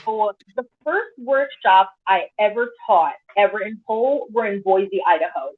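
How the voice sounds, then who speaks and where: A woman pole dance instructor telling stories on a panel, animated with quick asides, heard over a video call. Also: mid-range voice, husky. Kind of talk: casual panel discussion. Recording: tinny phone-like video call audio.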